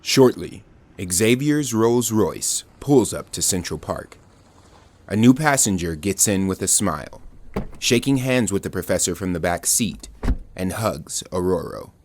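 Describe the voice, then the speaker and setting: Deep-voiced male audio drama narrator. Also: animated, unhurried, a little hiss or hum.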